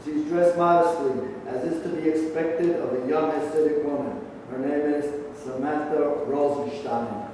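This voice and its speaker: High-pitched male narrator, echoing hall. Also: steady tone, unhurried, dark tone. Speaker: stage-direction narrator. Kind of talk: scripted narration at a staged reading. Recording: echoing hall, distant mic, faint noise.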